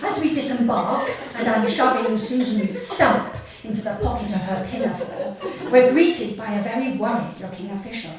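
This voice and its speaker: A woman reading her comic short story live to an audience, in a deadpan, measured way with deliberate pauses. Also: on the high side, a crowd in the background. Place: theatre stage at a live reading event. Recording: echoey hall, distant mic, audience noise. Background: audience laughter.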